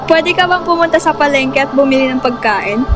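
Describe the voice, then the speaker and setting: A woman's voice: high voice, brisk, music in the background, natural voice. A woman playing a demanding, scolding mother in an amateur radio drama.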